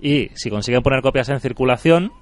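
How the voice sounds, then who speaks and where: A man's voice: medium pitch, fairly quick, no room sound. A man from Andalusia hosting a casual podcast, talking pragmatically and rambling with frequent restarts. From Andalusia, Spain. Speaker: podcast host.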